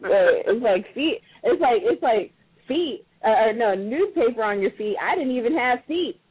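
A man from Maryland, a guest on a casual call-in talk show, animated and sarcastic and laughing often. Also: very high-pitched, moderate pace, breathy edge, dry recording. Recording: dry, tinny phone-line audio.

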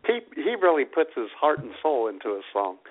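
Man, comedy radio co-host, wisecracking interjections, animated delivery, casual, thin telephone-band audio. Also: mid-range voice, brisk, narrow, tinny audio.

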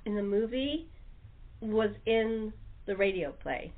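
A woman's voice: medium pitch, lively intonation, takes it slowly. A woman talk show host chatting casually in a chatty, enthusiastic way. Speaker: talk show host and radio-play producer. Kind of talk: casual chat. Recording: thin narrow phone-line audio.

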